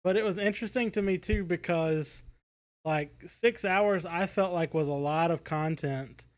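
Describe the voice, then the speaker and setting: Guy podcast cohost, voice a bit on the high side, casual review chat, says like a lot, kinda halting. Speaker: podcast co-host.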